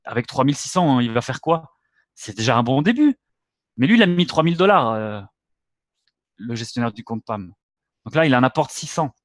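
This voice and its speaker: A man's voice: medium pitch, big pitch swings, moderate pace, long pauses, dry recording, studio quality. Blunt French man lecturing viewers. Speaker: webinar host. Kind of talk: trading webinar talk.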